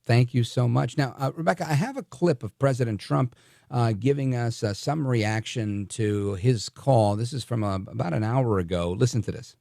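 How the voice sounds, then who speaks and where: A man's voice: mid-range voice, normal speed, gravelly, dark tone, no echo, clean studio sound. A man hosting a live radio talk show, interviewing in a conversational, self-deprecating manner.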